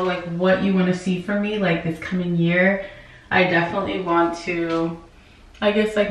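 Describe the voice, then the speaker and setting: A young woman talks casually to her viewers, filling her sentences with "like" as she goes. Her voice sits at an ordinary pitch. It bounces off the hard walls of a small bathroom, and the camera mic is set back from her. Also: fairly bright tone, echoey room, recorded from a distance, a little hiss or hum, rough recording. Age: young adult. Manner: chatty, frequent "like" fillers, candid. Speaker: vlogger. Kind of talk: casual vlog chat and clothing haul. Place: home bathroom with tiled shower. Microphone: camera on a tripod a few feet away.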